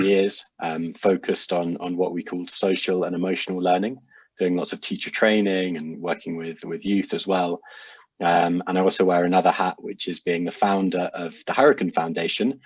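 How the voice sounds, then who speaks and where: A deep-voiced man from the UK with a husky edge, explaining his work in an earnest, measured way. The sound is dull and lo-fi, as if carried over a video call, and the room around him is completely dry. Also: no room sound. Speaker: social enterprise founder and guest. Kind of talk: casual video chat.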